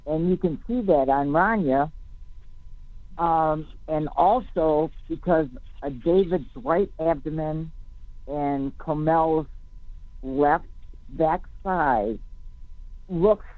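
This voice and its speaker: Man, unhurried and deliberate, slightly high voice, discussion over a phone conference line. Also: dry recording. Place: telephone conference call. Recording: phone-like narrowband conference call.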